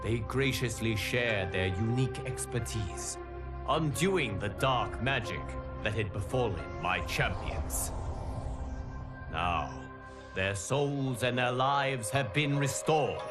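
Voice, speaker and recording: A man's voice: medium pitch, music in the background, close to an everyday voice. A man voicing a grave timeline guardian. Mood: solemn. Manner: grave, measured storytelling. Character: solemn godlike male guardian of timelines. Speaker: narrator. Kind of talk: scripted game cutscene narration.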